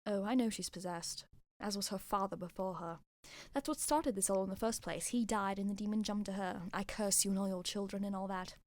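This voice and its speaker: A woman playing a smug, scheming dark-arts villainess in an amateur radio play, theatrical and blithely sardonic. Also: mid-range voice, a little fast, no room sound, natural voice. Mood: gloating.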